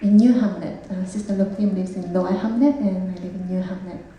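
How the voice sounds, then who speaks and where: Japanese-accented nun in an echoey hall. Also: female voice, lowish voice, fairly even intonation, fairly quick, mellow, distant mic. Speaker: Buddhist nun. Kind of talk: self-introduction to an audience. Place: large room at an evening event.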